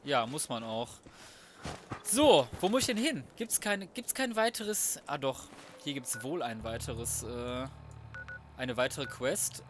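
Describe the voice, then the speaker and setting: A man's voice: mid-range voice, expressive, takes it slowly, slight background noise. A man giving casual gaming commentary, thinking aloud in a colloquial, cheeky way. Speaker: let's player.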